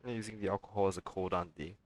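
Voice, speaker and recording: A man's voice: low-pitched, steady tone, quick pace. A man giving casual let's play commentary as he streams a video game. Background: game music.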